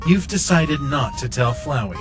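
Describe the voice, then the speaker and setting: Actor as game-text narrator for a comic dub, scripted and impersonal, lively pitch movement, music underneath. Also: normal speed, lightly acted. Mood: detached.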